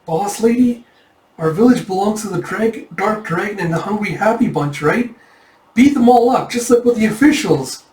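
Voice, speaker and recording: A man voicing a bold, excitable village child with a pestering manner while telling a story. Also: a little high, unhurried, close to an everyday voice. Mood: pleading, pestering. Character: cocky, excitable village child, eager little fighter. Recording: echoey room, distant from mic.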